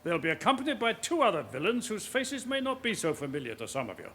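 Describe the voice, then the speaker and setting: Commanding police officer, high voice, animated swoops, clipped formal briefing style, radio drama. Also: male voice, slight background noise. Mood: grave, authoritative. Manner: authoritative, clipped, deliberate. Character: commanding male senior police officer briefing his men.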